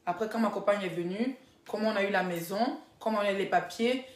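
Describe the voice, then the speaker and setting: A woman's voice: mid-range voice, quick pace. A woman telling stories casually to followers on a live stream, addressing them directly and repeating herself for emphasis. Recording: echoey room.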